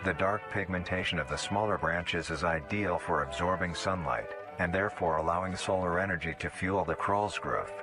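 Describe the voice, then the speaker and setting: A man reading scripted educational narration in a measured, formal, clinical manner. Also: low voice, music in the background. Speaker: documentary narrator.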